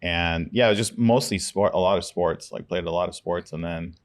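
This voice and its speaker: A man who is a podcast co-host, from Los Angeles, talking casually and telling stories in an animated, self-deprecating way. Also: deep voice, a little fast. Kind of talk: casual conversation.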